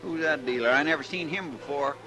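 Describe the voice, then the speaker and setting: A man's voice: fairly high pitch, a little fast, music in the background, close to an everyday voice. An American man playing a good-natured, hapless cowboy sidekick in an old-time radio western, with a folksy, hesitant rural drawl on a vintage recording. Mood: wary.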